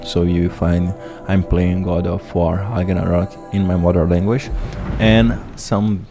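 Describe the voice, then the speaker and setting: A man with a very low voice, commenting casually on a video game in halting, chatty English. He restarts his sentences now and then, and loud game music plays beneath his voice-over. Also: flat delivery. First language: Portuguese. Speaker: gameplay host.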